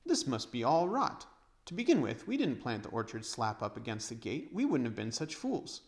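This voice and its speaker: A man's voice: medium pitch, huge pitch swings, moderate pace. A man voicing an argumentative, skeptical schoolboy in a storybook.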